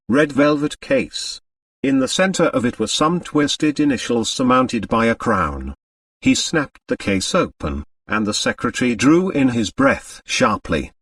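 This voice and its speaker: Guy narrating an audiobook novel, really lively pitch, dry sound, bit back from the mic. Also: no room sound, distant mic. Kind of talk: scripted dramatic narration of a novel.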